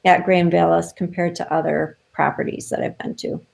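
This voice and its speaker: A woman working as a travel advisor, chatting casually in an interview over a video call, with lots of fillers and quick laughs. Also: on the low side, flat delivery, gravelly, darkish tone.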